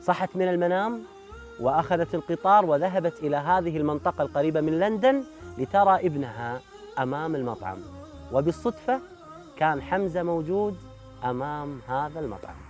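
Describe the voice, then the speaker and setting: A man hosting and narrating a documentary, speaking rapidly and earnestly. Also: a little high, lively intonation, soft background music. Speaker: host.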